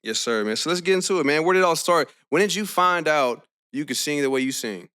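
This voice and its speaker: A man's voice: fairly high pitch, lively intonation, quick pace, bright, forceful tone, clean tone. A man hosting a casual podcast. He talks in an easygoing, upbeat way, full of slang. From Houston, Texas. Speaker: podcast co-host.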